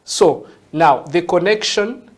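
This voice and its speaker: A man's voice: sits high, slow. Ugandan male guest; patient, explanatory, conversational. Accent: Ugandan English. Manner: patient, explanatory, many "yeah?" checks. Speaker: guest, tech entrepreneur. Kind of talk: interview answers, explaining.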